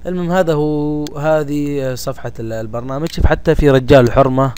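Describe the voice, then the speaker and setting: A man with a Gulf Arabic accent presenting a software tutorial step by step in an informal, colloquial way, addressing listeners familiarly. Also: a little high, fairly even intonation, fairly quick, smooth. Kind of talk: casual step-by-step screen tutorial. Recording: home recording with faint background noise.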